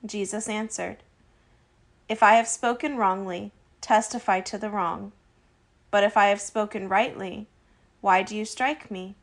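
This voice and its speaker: Mid-pitched woman reading scripture aloud. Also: fairly even intonation, unhurried. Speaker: scripture reader.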